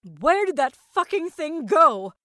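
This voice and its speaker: A woman's voice: sits high, very animated, slow pace, pushed and bright, no room sound, clean studio sound, pitched above the performer's own voice, exaggerated voice. A woman voicing a brash, irritable female shopper in an audio story, blunt, exclaiming and exasperated. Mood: furious, yelping.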